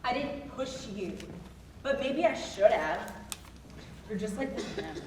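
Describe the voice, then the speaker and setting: A woman's voice: a little high, animated, slow pace, darkish tone. A woman playing a snobbish, entitled politician's wife in a staged reading of a musical. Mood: disdainful. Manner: snobbish, indignant, dismissive. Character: haughty, entitled state politician's wife under arrest. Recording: roomy, distant mic, faint noise.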